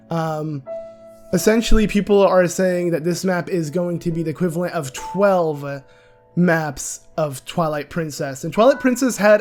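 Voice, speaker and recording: A young man hosting a casual gaming discussion vlog. He is sick and his voice is nearly gone, so it comes out hoarse and raspy. He rambles, with many fillers and restarts. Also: high voice, a little mellow. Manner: rambling, with frequent "uh" and self-corrections. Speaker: video game channel host.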